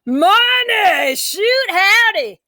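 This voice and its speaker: A woman's voice: very high voice, very animated, very slow, sharp tone, no echo, studio quality, voice pushed up, exaggerated voice. A woman voicing a spirited, boastful country child from a storybook, telling the tale in a folksy, emphatic, dramatic way. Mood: excited, indignant.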